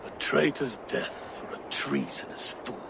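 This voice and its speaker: An actor playing a proud, resolute Viking lord in a video game, grave and determined, with a gravelly voice. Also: male voice, medium pitch, steady tone, unhurried, background noise. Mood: bitter contempt. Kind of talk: dramatic video game acting.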